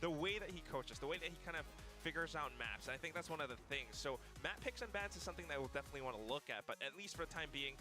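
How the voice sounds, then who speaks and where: Fast-talking male caster, music behind. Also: on the high side, animated. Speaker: esports caster. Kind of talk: broadcast analysis desk.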